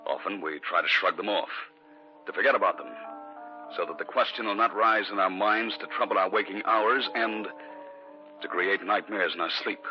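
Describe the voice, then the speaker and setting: A man playing a husband who narrates an old radio horror tale in a measured, serious way. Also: on the low side, lightly acted. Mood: grave. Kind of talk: 1950s radio drama acting and narration. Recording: dull old radio recording with loud music.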